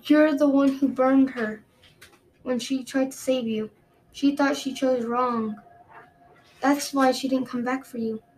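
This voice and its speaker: A woman's voice: high-pitched, steady tone, a little mellow, slight background noise, poor audio. A woman voicing a haughty, cruel dragon queen who speaks with cheerful malice. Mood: gloating. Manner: cheerfully malicious, drawn-out, imperious. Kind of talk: audiobook character reading.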